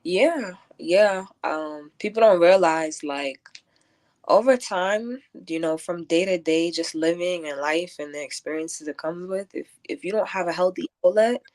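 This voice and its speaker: A woman's voice: fairly low pitch, measured pace, darkish tone. A woman talking earnestly and reflectively as a guest in a casual conversational interview. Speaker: interview guest, event curator and poet.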